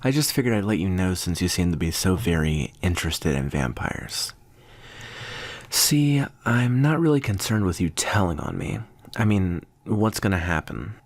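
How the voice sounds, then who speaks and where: A man playing a smug, amused vampire teacher in an ASMR roleplay, condescending and teasing, with unhurried, deliberate pauses. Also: sits low, sing-song, dry recording, full character voice. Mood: frank, reassuring.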